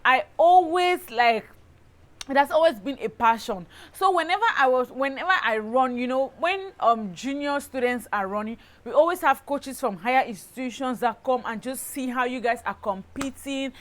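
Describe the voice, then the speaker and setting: A woman with a Cameroonian accent telling a personal story in a casual vlog style, animated and emphatic, often checking in with the listener. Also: sits high, normal speed. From Cameroon, southwest region. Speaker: lifestyle YouTuber, host.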